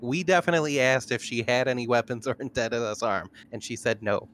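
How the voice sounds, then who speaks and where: A man's voice: medium pitch, brisk, fairly bright tone, a little hiss or hum. A man chatting casually as a player in a tabletop roleplaying game. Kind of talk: casual chat.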